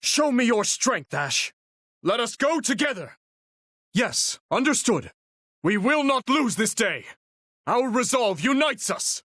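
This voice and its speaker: Noble young warrior prince, animated, earnest. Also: male voice, extremely high pitch, takes it slowly, no room sound, studio quality, full character voice. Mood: rallying, resolute. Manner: formal, courtly, earnest delivery. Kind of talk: video game battle voice lines.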